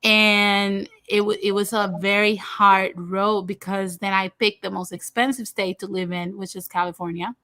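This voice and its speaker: Woman from Puerto Rico, livestream host, frank and chatty, bright voice, dry close sound. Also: mid-range voice, fairly even intonation, normal speed, hardly any pauses, dry recording. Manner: talkative, frank, addresses viewers directly. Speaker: host of a livestream talk show. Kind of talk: casual livestream chat.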